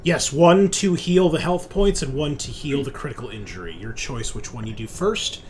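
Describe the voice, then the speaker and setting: A man acts as game master at a tabletop session, moving between narration and rules explanations. His medium-pitched voice is informal and peppered with swearing. Noticeable noise sits in the recording. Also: expressive, normal speed, a little mellow. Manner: informal, salty-tongued.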